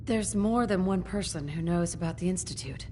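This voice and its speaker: A woman voicing a calm, determined hero in a video game, plain and direct. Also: fairly low pitch, normal speed, a little hiss or hum, close to an everyday voice. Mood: calm, resolute.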